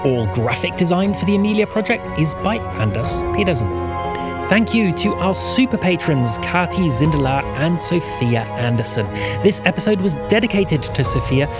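A man announces podcast credits from a script. His voice is a little high and very animated, competing with loud music underneath. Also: normal speed.

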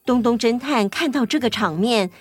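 A woman tells a children's story in scripted narration. Her pitch is mid-range and very expressive, rising and falling freely. Gentle music sits behind her. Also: normal speed, slightly rough.

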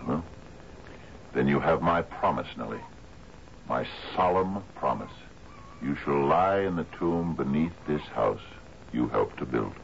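Very deep, gravelly brooding old-radio sea captain. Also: male voice, animated, full character voice. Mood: tender, solemn. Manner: halting, brooding, formal. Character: troubled, grieving sea captain, master of an island house. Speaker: actor in a radio mystery drama. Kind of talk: 1970s radio drama acting. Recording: muffled, noisy old radio broadcast.

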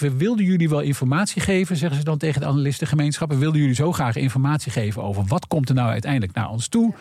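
A man's voice: mid-range voice, gravelly, a little mellow, breathy edge, dry recording, studio quality. A man explaining conversationally as an expert guest, acting out bits of dialogue as he goes. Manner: acts out imagined dialogue while explaining. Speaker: guest analyst explaining earnings calls.

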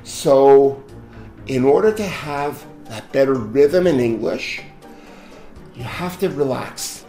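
A man's voice: mid-range voice, lively intonation, takes it slowly, mellow, soft background music. Man, pronunciation teacher, blunt repetitive coaching, mid-range voice. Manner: blunt, repeating corrections. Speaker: pronunciation teacher, linguistic consultant. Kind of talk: spontaneous classroom coaching.

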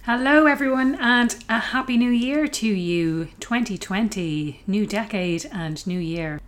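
A woman hosts a podcast in a loose, chatty, upbeat way. Her voice is still a touch hoarse after an illness, and a little music plays softly behind her. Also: medium pitch, animated, measured pace. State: recovering from illness, slightly hoarse. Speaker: podcast host. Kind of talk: podcast interview.

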